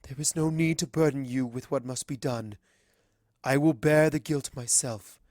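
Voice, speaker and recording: A performer voicing a gentle but resolute pony princess in a measured, formal, regretful manner for a story reading. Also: medium pitch, no room sound, studio quality, lightly acted. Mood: sad, resigned. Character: regal, sorrowful adult mare princess, gentle but resolute.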